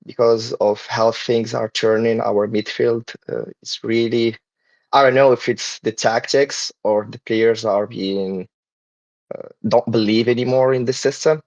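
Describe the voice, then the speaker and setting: A man's voice: mid-range voice, steady tone, measured pace, no room sound. Podcast host, a man from Morocco, hesitant and full of fillers; dull, dry, lo-fi sound. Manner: hesitant, many fillers and restarts. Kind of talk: casual podcast discussion.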